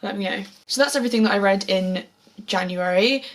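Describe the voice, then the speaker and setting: A young woman with a slightly hoarse, sore voice, chatting casually about books to camera as a vlogger, enthusiastic and repeating words for emphasis. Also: medium pitch, a little fast. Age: young adult. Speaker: book vlogger. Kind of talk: casual book chat to camera.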